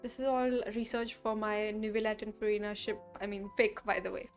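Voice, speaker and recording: A woman's voice: mid-range voice, steady tone, a little fast, faint music behind. A woman giving playful, effusive live-stream reactions to a game story, casually echoing its dialogue.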